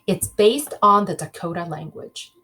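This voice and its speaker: A woman's voice: on the low side, a little slow. A woman voicing an encouraging, playful mother who explains words to her child in a children's storybook. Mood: eager, explaining. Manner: bright, instructive, storybook dialogue.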